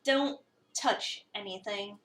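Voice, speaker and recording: A woman voicing a gruff, curt, busy female cafe chef in a visual novel reading, deadpan and dismissive. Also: medium pitch, slow pace, pitched below the performer's own voice. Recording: roomy, a bit far from the mic.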